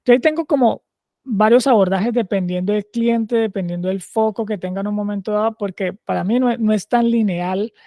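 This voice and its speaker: A man's voice: high voice, a little fast, warm tone, no echo, clean studio sound. A man with a Caleño Colombian accent, a podcast guest, explaining ideas conversationally and often checking in with his listener. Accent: Caleño, Cali Colombian Spanish. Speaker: guest, agile culture consultant.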